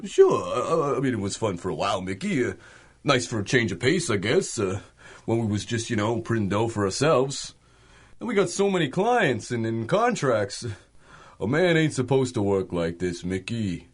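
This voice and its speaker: An animated, complaining gangland henchman. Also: medium pitch, moderate pace, husky. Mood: grumbling, hesitant. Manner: complaining, ungrammatical street slang. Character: dim, whining male henchman of a gangster. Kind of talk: 1930s radio adventure drama acting.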